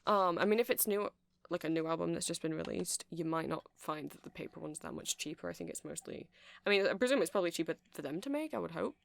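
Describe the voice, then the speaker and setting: Creaky-voiced nerdy vampire shop seller, rambling woman acting. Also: mid-range voice, animated, fast, no echo. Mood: helpful, rambling. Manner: hesitant, rambling, filler-heavy, self-correcting. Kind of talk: ASMR roleplay, improvised-sounding rambles.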